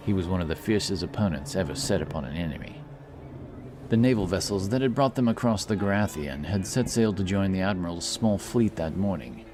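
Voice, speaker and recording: A man narrating a scripted fantasy audiobook with a measured, dramatic storytelling manner. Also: deep voice. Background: music.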